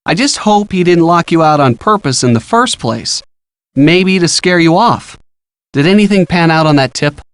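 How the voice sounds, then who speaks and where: A man's voice: on the high side, animated, normal speed, fairly bright tone, dry recording. Easygoing young college guy. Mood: supportive. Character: easygoing, supportive college-age boyfriend of a detective. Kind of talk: video game voice acting.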